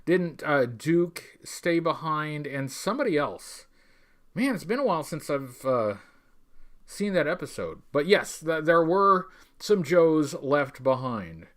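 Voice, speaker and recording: Male livestream host, slightly high-pitched, rambling, stop-start, wry, tongue-in-cheek, casual chat. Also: sing-song, takes it slowly, fairly bright tone. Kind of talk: casual livestream chat reading viewer messages.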